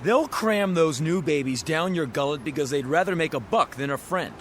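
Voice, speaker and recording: Radio drama: actor as bombastic male car huckster, high voice, folksy, relentless wheedling. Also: expressive, normal speed, slight background noise, lightly acted. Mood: indignant, persuasive. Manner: bombastic, wheedling, florid sales patter. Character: pushy, glib used-car salesman, a folksy fast-talking huckster.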